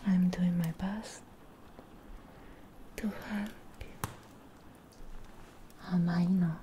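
A young woman in an ASMR fantasy roleplay as a charming, eerie witch, whispering breathily in a deliberate, soothing way, close to the mic. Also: fairly low pitch, fairly even intonation, mellow, a little hiss or hum. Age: young adult. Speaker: ASMR performer.

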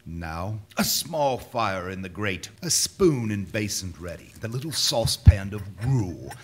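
An actor playing a crabby, easily frightened old miser in a live radio drama, theatrical and blustering. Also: male voice, on the low side, very animated, measured pace. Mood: muttering. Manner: theatrical, blustering, stammering when scared. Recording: live auditorium stage recording with music.

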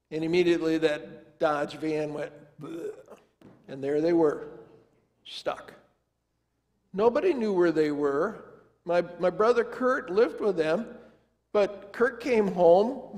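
A man's voice: high-pitched, a little mellow. An older man preaching as pastor, telling a family story in a folksy, conversational way and acting out the dialogue. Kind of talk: storytelling sermon. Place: large church sanctuary, standing before the altar. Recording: long church reverb.

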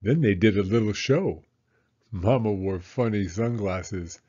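A man narrating a children's story as a storyteller, in a measured, expressive manner. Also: fairly low pitch, highs cut off.

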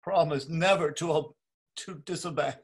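A man's voice: fairly high pitch, normal speed, breathy edge. An actor playing a meek, grovelling middle-aged man, pleading and theatrical, in a dramatic reading over a video call. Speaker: stage actor.

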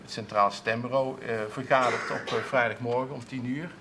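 A man's voice: medium pitch, fairly even intonation, normal speed, gravelly, noisy background. A man in his thirties, a council clerk, answering interview questions in a TV studio with many restarts and fillers. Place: TV studio with seated audience, at a table.

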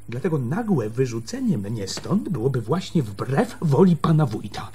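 An actor playing a stubborn, argumentative school janitor in a radio drama, speaking in a formal, wordy, insistent way. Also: male voice, high voice, darkish tone. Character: stubborn, argumentative male school janitor defying his superior. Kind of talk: Polish radio drama acting.

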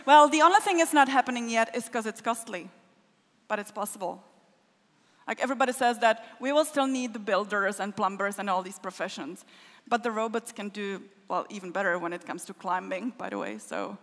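A woman's voice: medium pitch, fairly bright tone. A woman answering audience questions as a conference keynote speaker, in an informal, joking way. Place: large wooden concert hall with audience. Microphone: stage microphone. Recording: echoey concert hall.

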